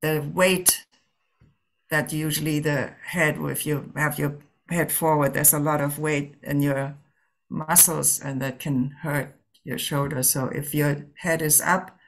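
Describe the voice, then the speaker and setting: Female meditation guide, low register, unhurried and halting, gently offering instructions with plenty of space between phrases. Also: steady tone. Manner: gentle, permissive, halting with long pauses. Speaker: Zen teacher leading a guided meditation.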